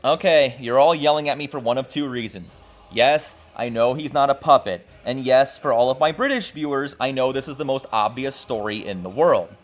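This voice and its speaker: A man's voice: medium pitch, normal speed. A man hosting a video essay, narrating from a script with casual, profane asides in an irreverent, jokey, emphatic way. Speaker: host of a video essay show. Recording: music playing behind the voice. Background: background music.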